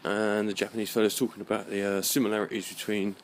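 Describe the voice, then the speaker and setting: A man giving a rambling, unscripted monologue in a halting, musing way, often repeating his phrases. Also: lowish voice, level tone, slight background noise. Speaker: vlogger.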